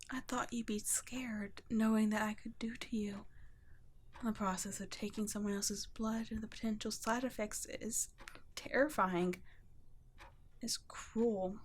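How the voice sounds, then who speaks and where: Woman voicing a whispering, slow, tender vampire guardian. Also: a little high. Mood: anxious, tender. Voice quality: soft, breathy half-whisper. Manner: slow, hushed, halting, tender. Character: gentle, guilt-ridden female vampire, protective guardian. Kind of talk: ASMR roleplay, scripted intimate monologue.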